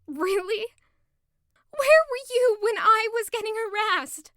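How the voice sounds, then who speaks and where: Casting: woman playing a wounded young lover in audio drama, very high, bright voice, pleading and accusing. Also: lively intonation, takes it slowly, no echo, studio quality, full character voice. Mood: accusing, heartbroken. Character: heartbroken, wounded young woman confronting her evasive lover.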